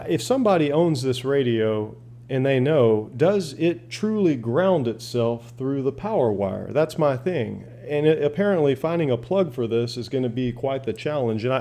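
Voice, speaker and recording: A man's voice: medium pitch, warm tone. A man chatting casually and unscripted as a radio hobbyist showing his gear, rambling in a folksy, plain-spoken way. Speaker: shortwave radio hobbyist asking viewers for advice. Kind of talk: casual unscripted demonstration and chat.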